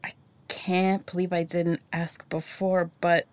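A woman's voice: on the low side, flat delivery, takes it slowly, a little mellow. A woman playing a friendly, eager young adventurer with a casual, upbeat manner in a fantasy audio drama. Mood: friendly, relieved.

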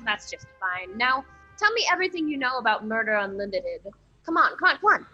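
A woman's voice: a little high, sing-song, brisk, pushed and bright, slightly rough, lo-fi sound, faint music behind, full character voice. An actress playing a ditzy, eager and brazen young woman sleuth in a comic old-time radio play, breezy and chatty. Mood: conspiratorial, bossy. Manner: breezy, chatty, blithely unconcerned.

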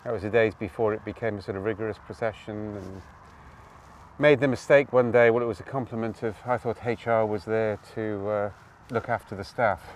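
A man from Wales telling his story outdoors in an interview, animated, with quick asides and tag questions. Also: fairly low pitch, far from the mic, slight background noise. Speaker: guest. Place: outdoors on open hills.

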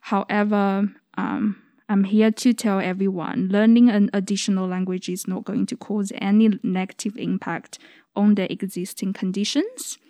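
A woman speech pathologist explaining things to parents in an earnest, measured way, with slightly grammar. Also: mid-range voice, flat delivery, warm tone, dry recording, clean studio sound. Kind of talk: explaining, informative podcast talk. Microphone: studio microphone.

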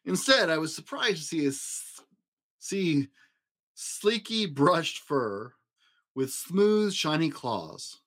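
A man narrating a children's picture book in an expressive storytelling style. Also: a little high, takes it slowly. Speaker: storybook reader.

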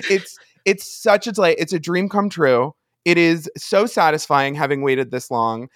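A man's voice: fairly high pitch, moderate pace, fairly bright tone, dry recording, clean studio sound. A man co-hosting a podcast in casual fan discussion, gushing with dramatic emphasis. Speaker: podcast co-host.

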